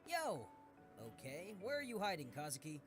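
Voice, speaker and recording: A woman's voice: lowish voice, big pitch swings, normal speed. A woman voicing a hot-headed, indignant, boyish young male detective in an anime visual novel. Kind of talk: visual novel character acting. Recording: game audio under music.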